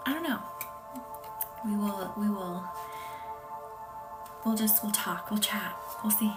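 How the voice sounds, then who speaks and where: Soft whispery woman, distant, music behind. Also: medium pitch, slow pace. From American South. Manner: soft, often whispery, hesitant with many fillers. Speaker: reiki healer hosting a live session. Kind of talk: live-stream energy healing with viewer chat. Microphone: phone live stream.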